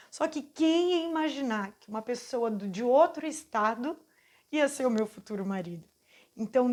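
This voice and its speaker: Earnest Brazilian woman in her forties. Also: a little high. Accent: Brazilian Portuguese. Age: 40s. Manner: earnest and heartfelt, halting with restarts. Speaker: channel host giving a testimony. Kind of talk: personal faith testimony.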